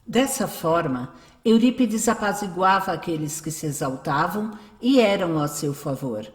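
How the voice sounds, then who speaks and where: A woman's voice: lowish voice, expressive, a little slow. A Brazilian woman narrating a scripted radio drama. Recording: echoey, faint music behind.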